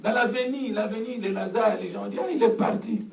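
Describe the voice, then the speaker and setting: A man preaching with call and response in a church hall, fervent and repetitive, often shouting. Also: sits high, steady tone, fairly quick, vocal fry, a faint crowd. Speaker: pastor. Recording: echoey hall, distant mic, congregation noise. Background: congregation answering.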